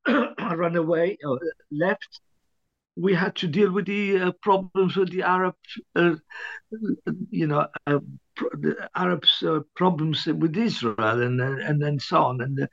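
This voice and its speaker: Older man, from Libya, halting, throat clearing, dry muffled recording. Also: a little high, fairly bright tone, no echo. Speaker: author, interviewee. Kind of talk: hesitant interview reminiscence.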